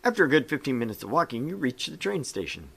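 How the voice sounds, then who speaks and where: Streamer-narrator: casual read-aloud of a visual novel, mid-range voice, lively intonation, joking storyteller delivery. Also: medium pitch, fast, creaky, breathy edge. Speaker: game show host and narrator.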